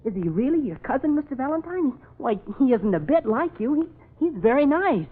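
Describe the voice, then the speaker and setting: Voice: sits high, sing-song, brisk. A performer playing an eager, cheeky young American office boy in a 1940s radio drama. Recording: tinny 1940s radio recording.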